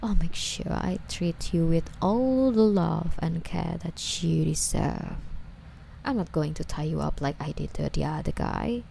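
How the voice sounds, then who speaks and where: Woman doing obsessive yandere stalker girl asmr, coddling her captive, breathy n mellow, lowish voice, faint noise behind. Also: animated, heavily acted. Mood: possessive affection. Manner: slow, coy, breathy delivery slipping into whispers. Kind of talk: ASMR roleplay.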